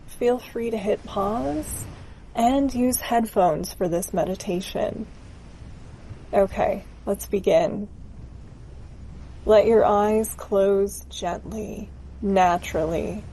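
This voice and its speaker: Slow, mellow woman guiding a meditation. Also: medium pitch, a little hiss or hum, low-quality recording. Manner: gentle, soothing, unhurried. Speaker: guided meditation narrator. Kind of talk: guided meditation, scripted narration.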